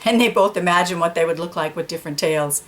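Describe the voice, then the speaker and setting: A woman's voice: lowish voice, fast talker, fairly bright tone, a little hiss or hum. A woman reading a picture book to children, narrating informally with explanatory asides. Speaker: storytime reader.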